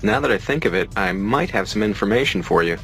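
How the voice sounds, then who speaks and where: A smooth-talking trade contact keeps up a friendly, salesman-like patter in a video game cutscene. His voice sits lowish, with faint music in the background. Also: expressive, quick pace, a bit bright. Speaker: game character.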